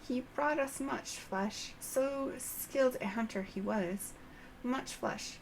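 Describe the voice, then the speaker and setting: A woman voicing a courteous insectoid elder storyteller from a game, with formal, archaic, drawn-out phrasing. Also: fairly high pitch, a little hiss or hum, pitched above the performer's own voice, low-quality recording. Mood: solemn, measured. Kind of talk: game dialogue read aloud.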